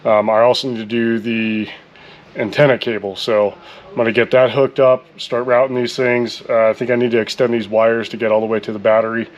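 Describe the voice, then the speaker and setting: A man hosting a how-to video, casually talking through his work step by step with relaxed fillers. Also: mid-range voice, a little fast, dull audio. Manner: relaxed, thinking aloud with fillers. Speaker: vlog host.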